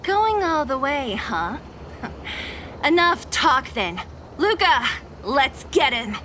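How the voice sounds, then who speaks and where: Sharp-tongued young heroine, game: slightly high, expressive, wry, skeptical. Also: female voice, drawn-out words, slight background noise, exaggerated voice. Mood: scornful, tough. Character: sharp-tongued, teasing, tough young female companion. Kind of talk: video game voice acting. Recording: game music under the voice.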